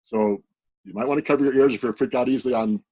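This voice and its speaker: A man's voice: mid-range voice, fast talker, darkish tone, slightly rough, poor audio. A man on a video call earnestly telling a personal spiritual story in a plain, direct way. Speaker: participant sharing a story.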